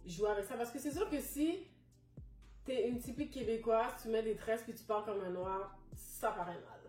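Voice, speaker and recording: A woman's voice: on the high side, moderate pace. A young woman, speaking frankly and emphatically as a guest in a casual panel discussion. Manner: frank, emphatic, mixes English words. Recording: echoey room, distant mic, soft music.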